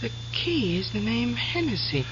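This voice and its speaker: An actress playing an affectionate, curious young expectant wife in a radio drama. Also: female voice, fairly high pitch, sing-song, normal speed. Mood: curious, probing. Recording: dull hissy old radio recording.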